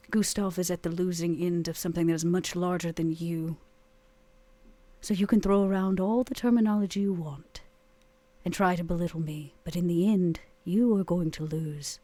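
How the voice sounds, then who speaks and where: A woman voicing a haughty, coolly menacing vampire in a tabletop roleplay, deliberate, formal and condescending. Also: fairly low pitch, dark tone, dry recording, natural voice. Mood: cool menace.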